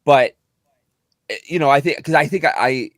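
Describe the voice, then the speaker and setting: Middle-aged actor, slightly whiny, rambling chat, dry close mic. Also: male voice, a little high, normal speed, no echo. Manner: animated, rambling, many restarts. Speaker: actor and guest. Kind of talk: casual video chat.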